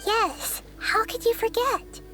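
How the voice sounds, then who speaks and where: A woman voicing a small, childlike goddess in an anime-style game, gentle, articulate and thoughtfully inquisitive. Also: very high-pitched, takes it slowly, loud music behind the voice, rough recording.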